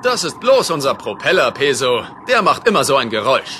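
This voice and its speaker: An actor voicing a brave animal captain in a children's cartoon audio drama, decisive and authoritative. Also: male voice, mid-range voice, big pitch swings, a little fast, background noise, lightly acted. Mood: commanding.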